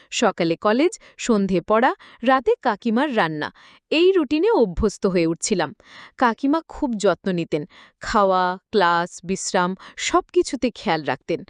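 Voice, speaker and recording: A woman's voice: mid-range voice, sing-song, unhurried, dry recording. A woman reading a scripted, emotional story as its narrator.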